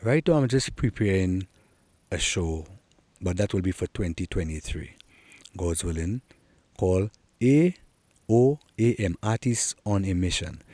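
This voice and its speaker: A man's voice: deep voice, expressive, unhurried, pauses often, warm tone, dry recording. A middle-aged man with a Trinidadian accent, answering interview questions in an earnest, encouraging way. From Trinidad and Tobago. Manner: earnest, encouraging, repeats key points. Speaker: soca artist guest.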